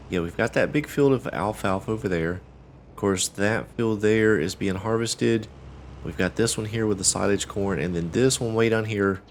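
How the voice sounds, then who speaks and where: A man hosting a farming game playthrough, congested and a bit cracking, talking in a relaxed, rambling way with many fillers and restarts. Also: low-pitched, slight background noise. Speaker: let's play host. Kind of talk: casual gameplay commentary.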